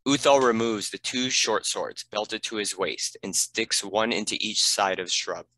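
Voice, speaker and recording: Male narrator for screenplay directions: lowish voice, clear forward edge, scripted delivery, dry recording. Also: unhurried, bright, forceful tone, dry recording, low-quality recording. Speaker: narrator reading stage directions.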